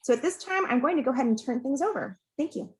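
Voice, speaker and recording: A woman's voice: high voice, animated, fast, background noise. A woman acting as event host, introducing speakers in a semi-formal way.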